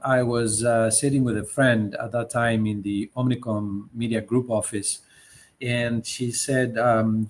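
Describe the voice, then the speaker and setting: Middle-aged man. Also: medium pitch. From Greece. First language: Greek. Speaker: guest, leadership coach. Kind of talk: conversational interview storytelling.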